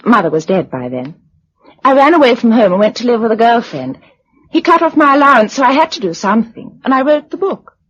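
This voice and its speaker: A woman's voice: a little high, very animated, fairly quick, a bit bright, breathy edge, exaggerated voice. An actress playing a spirited, well-bred young woman in a radio drama, with an upper-class British accent and refined, playful delivery. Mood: candid. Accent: upper-class British English. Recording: dull, muffled old radio recording.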